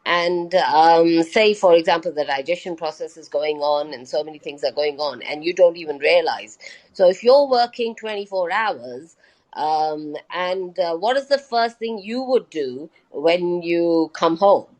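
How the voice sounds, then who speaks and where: Lady doctor guest, lowish voice, talks patiently, lots of ums and uhs. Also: a little slow, darkish tone, poor audio. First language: not Hindi. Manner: patient, hesitant, many fillers. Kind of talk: explanatory live interview.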